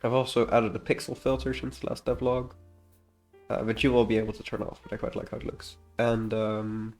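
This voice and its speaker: Slightly stuffed-up man rambling over music. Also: lowish voice. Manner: rambling, hesitant, trailing off mid-thought. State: slightly congested from a cold. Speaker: indie game developer presenting a devlog. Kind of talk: casual unscripted screen-recording commentary.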